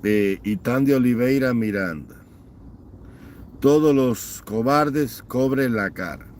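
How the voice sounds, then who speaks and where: A man's voice: mid-range voice, takes it slowly, a little mellow, slight background noise. Bitter man ranting on a livestream. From Cuba. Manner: bitter, scornful, emphatic lists. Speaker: livestream host. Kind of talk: livestream chat, reading viewer comments and ranting. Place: home in Houston, Texas.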